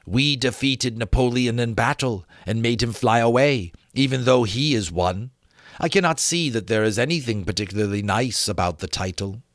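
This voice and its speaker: A man's voice: medium pitch, normal speed, no room sound, clean studio sound, lightly acted. A man voicing a proud, loyal, indignant young dragon with formal speech in a dramatic storybook reading. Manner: bristling, formal.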